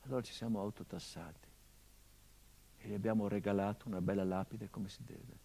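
A middle-aged actor playing the grieving son of a murdered journalist in a theatrical monologue, brooding and bitter, moving between hushed and impassioned delivery. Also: male voice, on the low side, fairly even intonation, a little fast, vocal fry, breathy edge. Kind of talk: theatrical monologue broadcast on radio.

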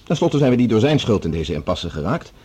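A man playing a calm, sceptical, even-handed colleague in a radio play, reasoning diplomatically in conversation. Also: on the low side, fairly quick, slightly rough. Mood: calmly persuasive.

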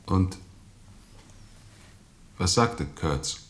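A man's voice: low-pitched, animated, very slow, heavily acted. An actor playing an insistent man who probes and presses with questions in a radio drama. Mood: probing. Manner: probing, pressing. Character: insistent male listener questioning a captain. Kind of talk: German radio drama dialogue. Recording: roomy, echoing studio sound.

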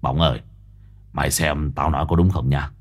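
A man voicing a gruff, impatient middle-aged investigator who growls and swears, in a husky voice. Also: extremely low pitch, fairly even intonation, normal speed, voice pushed down. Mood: insistent. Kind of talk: audiobook character voice.

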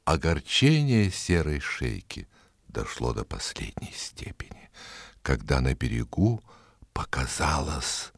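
A man narrating a fairy tale expressively, in a measured, dramatic way that savours the words. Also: very deep voice, very animated, no room sound. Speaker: storyteller, narrator.

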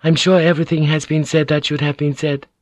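A man's voice: fairly high pitch, level tone, no room sound, studio quality, voice pushed up, natural voice. A man doing a poised, polite noblewoman. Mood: deferential. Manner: polite, measured. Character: poised noblewoman at a war council.

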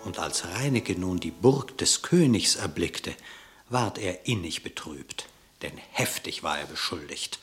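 A man narrating a radio play in verse, expressive and theatrical, with measured diction. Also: sits low.

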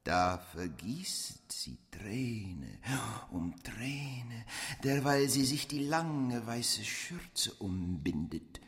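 An actor playing a solemn narrator who recites poetic lines with drawn-out, priestly reverence in a radio play. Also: male voice, on the low side, a bit bright, big-room sound, heavily acted.

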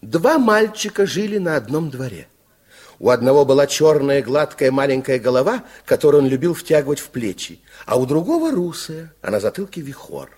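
A man's voice: mid-range voice, very animated, moderate pace, a little mellow, slightly rough. A man narrating a radio fairy tale with theatrical, dramatic storytelling.

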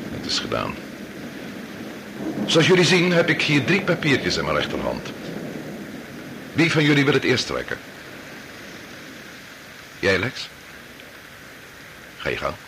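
An actor playing an authoritative older doctor and father, measured and formal, with a Dutch accent in a radio drama. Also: medium pitch. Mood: measured, commanding. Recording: noisy old radio drama recording.

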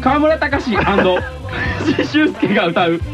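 Male radio host, casual chat, lively intonation, dull audio, loud music. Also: high-pitched, unhurried.

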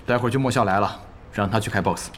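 A man's voice: fairly low pitch, fast talker, slight background noise, natural voice. An actor playing a hot-tempered, bossy male gaming guild president in a Chinese audio drama. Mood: stern, composed. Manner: bossy, excitable, swings from calm to outbursts.

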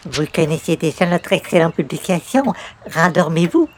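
A man's voice: a little high, animated, normal speed, a little hiss or hum, natural voice. A man playing an overly polite, naive and pious door-to-door visitor, ceremonious and exclamatory, in old-time radio comedy. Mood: eager.

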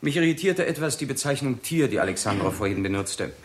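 Man acting a formal, doubtful scientist, mid-pitched. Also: lively intonation, a little fast, close to an everyday voice. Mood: skeptical, inquiring. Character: doubtful, inquiring male scientist. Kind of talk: 1960s German radio play acting.

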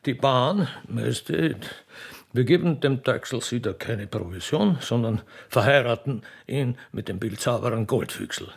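A man's voice: medium pitch, expressive, measured pace, creaky, husky, pitched below the performer's own voice, full character voice. A man playing an obsequious, apologetic court official with an Austrian accent, hemming deferentially, in a radio play. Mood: servile.

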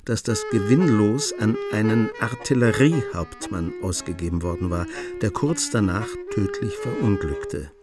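Low-voiced male narrator over music. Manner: measured, even storytelling. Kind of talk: scripted narration.

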